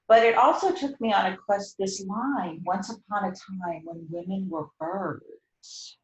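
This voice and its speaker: A woman talking as a host in a reflective, conversational storytelling style, repeating phrases for deliberate dramatic emphasis. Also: medium pitch, reverberant, rough recording. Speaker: host introducing and discussing a book.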